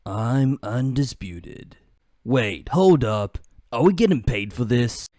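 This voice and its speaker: A man's voice: mid-range voice, animated, slow pace, dark tone, no room sound, clean studio sound. A man doing amateur voice acting of game character lines, theatrical and changing from one character voice to another.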